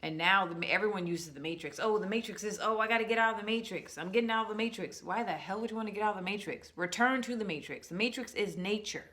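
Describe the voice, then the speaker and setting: A woman talking to her viewers in a casual, rambling monologue, slowing down to stress words and restarting her sentences often. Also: mid-range voice, fairly bright tone. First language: English. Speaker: vlogger.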